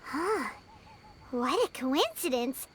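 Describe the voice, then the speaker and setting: A woman voicing a curious, excitable, childlike small female companion in a video game, with an inquisitive, exclamatory delivery. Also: sits high, slow, noisy background, exaggerated voice. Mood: surprised. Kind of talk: scripted video game dialogue.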